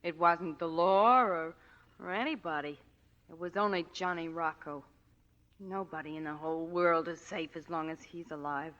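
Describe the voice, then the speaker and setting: Actress as a bright, earnest young woman. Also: fairly low pitch, highs cut off, lightly acted. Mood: consoling. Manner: earnest, deliberate. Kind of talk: 1940s radio drama acting.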